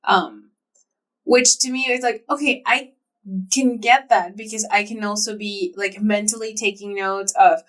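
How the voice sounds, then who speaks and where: A woman's voice: mid-range voice, steady tone, measured pace, fairly bright tone. A woman co-hosting a podcast, chatting casually and agreeably, often backing her partner up with "yeah". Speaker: podcast co-host. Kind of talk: casual chat. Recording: voice a bit off-mic.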